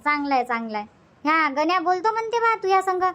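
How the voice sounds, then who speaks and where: A woman's voice: very high voice, sing-song, quick pace, a bit bright, exaggerated voice. A woman voicing a grumbling, talkative village grandmother in a cartoon comedy, with a Varhadi Marathi accent, on a lo-fi recording. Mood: chatty. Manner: chatty, complaining, repetitive. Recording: dull, lo-fi, faint noise.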